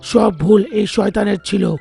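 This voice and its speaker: A forgiving jungle tiger from a cartoon, acted by a man. The voice is raised in pitch yet mellow and heavily gravelled. Faint music sits behind it. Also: steady tone, unhurried, full character voice. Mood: forgiving, grave. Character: Sher Khan, forgiving male tiger of the jungle. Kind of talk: cartoon dialogue acting.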